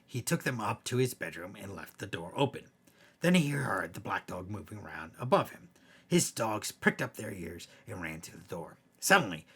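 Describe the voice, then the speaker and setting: A man with a low, raspy voice tells horror tales like a bedtime storyteller. His delivery is theatrical and animated, swelling for emphasis and dropping to a hush. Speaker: storyteller, host. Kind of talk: dramatic scary bedtime story narration.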